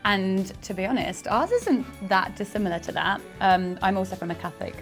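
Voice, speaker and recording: An animated woman telling a lively story. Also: medium pitch, a little fast, faint music behind. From England. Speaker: participant in a food swap. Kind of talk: casual chat.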